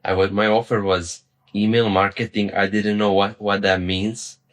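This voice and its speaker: A man's voice: on the low side, flat delivery, moderate pace. A young man telling his own story as a vlogger, rambling, repeating words and swearing casually. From Serbia. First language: Serbian. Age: young adult. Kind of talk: personal story to camera.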